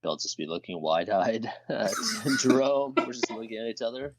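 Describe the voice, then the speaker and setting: A man's voice: fairly low pitch, lively intonation, moderate pace. A man talking casually as a player in a tabletop game, on a dull lo-fi microphone. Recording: roomy, dull lo-fi microphone sound.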